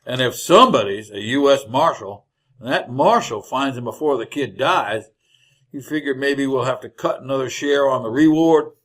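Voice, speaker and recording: An actor playing a greedy, folksy wagon driver in an old-time radio western, talking in blunt rustic cowboy speech. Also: male voice, mid-range voice, expressive, moderate pace, a bit bright. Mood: idle, calculating. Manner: drawling rustic cowboy grammar, blunt, jovially callous.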